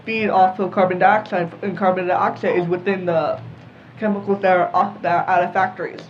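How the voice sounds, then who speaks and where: A man's voice: high voice, level tone, fairly quick. A young teenage boy explains things casually as part of a panel. The recording is a little dull, with faint music underneath. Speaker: talk panelist. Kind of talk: casual explaining in a group chat.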